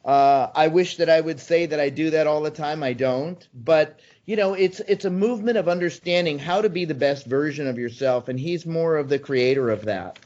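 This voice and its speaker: A man in his early sixties speaks with eager, persuasive energy as an interview guest, his voice sitting a touch high for a man. He often breaks off into ums and restarts. The recording sounds slightly dull and muffled. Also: normal speed, few pauses, darkish tone. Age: 60s. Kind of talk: conversational interview answers.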